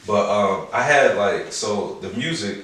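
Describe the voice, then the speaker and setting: A man's voice: fairly low pitch, unhurried, a bit bright. An American man chatting casually as an interview guest, in slangy speech with frequent swearing. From Chicago, United States. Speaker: interview guest, rapper and producer. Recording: echoey, distant mic, faint noise.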